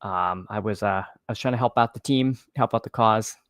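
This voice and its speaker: A man with a slightly froggy, hoarse voice chatting casually as a fantasy football livestream host, haltingly repeating phrases as he thinks aloud. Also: fairly low pitch, a bit bright, no room sound, studio quality. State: slightly hoarse, froggy after losing his voice at a game. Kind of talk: casual livestream chat and sports commentary.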